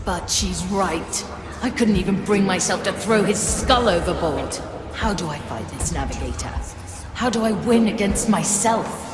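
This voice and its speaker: A woman's voice: on the low side, animated, measured pace. A woman voicing an earnest, troubled spirit of a ghost ship in a video game, with a solemn manner. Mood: despondent. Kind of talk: dramatic video game voice acting. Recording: long reverb, music behind.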